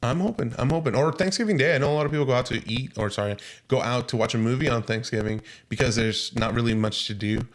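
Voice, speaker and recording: A man's voice: medium pitch, quick pace, few pauses. A man co-hosting a movie review podcast in casual chat, talking in a chatty, upbeat way with many fillers. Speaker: podcast host.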